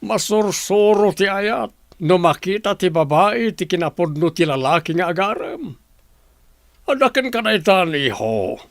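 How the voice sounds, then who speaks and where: A man playing a firm, advising middle-aged father in a radio drama, talking in a measured, deliberate way. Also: high-pitched, animated, dry recording, clean studio sound. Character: slow-spoken, firm, advising older father. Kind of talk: Ilocano radio drama acting.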